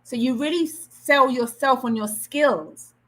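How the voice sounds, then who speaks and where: A woman's voice: high voice, measured pace. Trinidadian woman giving earnest career advice. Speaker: career coach presenter. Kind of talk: online Q&A session.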